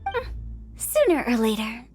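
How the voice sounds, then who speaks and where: A woman's voice: on the high side, very animated, measured pace, darkish tone. A woman voicing a quirky teenage funeral director in a video game, playful and morbidly cheerful, with theatrical sales patter. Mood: playful, self-important. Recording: faint game music behind the voice.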